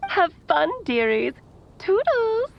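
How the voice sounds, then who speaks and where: A breezy teasing witch from a game, sing-song, bit creaky, muffled lo-fi recording. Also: very high voice, big pitch swings, takes it slowly, slight background noise, full character voice. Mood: playful, teasing. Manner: sing-song, affectionate, theatrical. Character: playful, breezy adult witch, motherly and teasing. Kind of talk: video game voice acting, recorded-message monologue. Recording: dull lo-fi recorded message.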